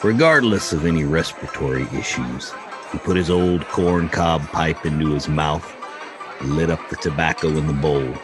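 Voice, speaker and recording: A man reading a story as dramatic, measured audiobook narration. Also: a bass voice, rough recording. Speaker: story reader. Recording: music and crowd murmur underneath.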